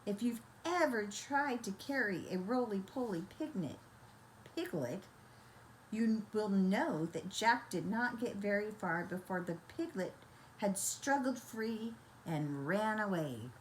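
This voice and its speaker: An older woman storyteller reading a children's bedtime story in a gentle, expressive, grandmotherly manner. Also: mid-range voice, slow pace, a little hiss or hum, rough recording.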